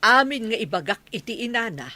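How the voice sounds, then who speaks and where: A woman acting a stubborn, scolding house caretaker in an Ilocano radio drama, sharp-tongued in manner. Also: on the high side, very animated, brisk. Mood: defiant, indignant.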